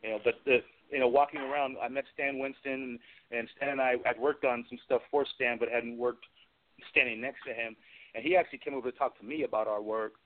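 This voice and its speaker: A man's voice: medium pitch, steady tone, a little fast. A middle-aged man, a guest craftsman, telling chatty anecdotes in an interview and acting out bits of conversation as he goes. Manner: chatty, recounts conversations in quoted dialogue. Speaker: guest, special effects craftsman. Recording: tinny phone-line radio interview.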